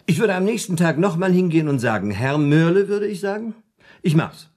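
An actor in a German radio play voicing a shrewd, haggling man, persuasive and deliberate, with a slightly husky voice. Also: fairly high pitch, expressive, a little mellow.

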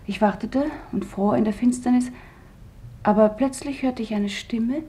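A woman's voice: on the high side, measured pace, warm tone, close to an everyday voice. Earnest, grieving actress as woman survivor. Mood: sorrowful recollection. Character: grieving woman survivor recalling a lost friend. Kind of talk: radio drama testimony.

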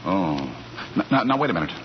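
An actor playing a charming, flustered husband juggling lies, in 1940s radio comedy style. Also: male voice, low-pitched, very animated, fast talker. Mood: flustered, indignant. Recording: noisy muffled 1940s radio recording.

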